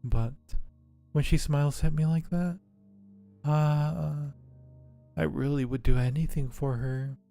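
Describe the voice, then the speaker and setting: A man's voice: fairly high pitch, steady tone, takes it slowly, creaky, long pauses, warm tone, faint music behind, voice pushed up. A man voicing a timid, self-doubting female vampire in a visual novel, confiding hesitantly and anxiously. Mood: smitten, unsure. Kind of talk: visual novel inner monologue.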